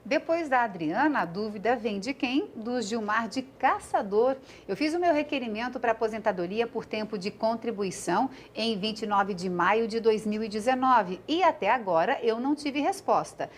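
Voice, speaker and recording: A Brazilian woman presenting a live TV news segment in a studio, brisk as she reads out viewer questions. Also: a little high, animated, slight background noise.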